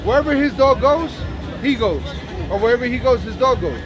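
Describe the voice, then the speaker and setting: A man's voice: very high voice, expressive, fairly quick, over music. A man in his thirties, a bomb squad officer, chatting and explaining his gear to a crowd at an outdoor community event with many restarts. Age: 30s. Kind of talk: casual demonstration to a crowd.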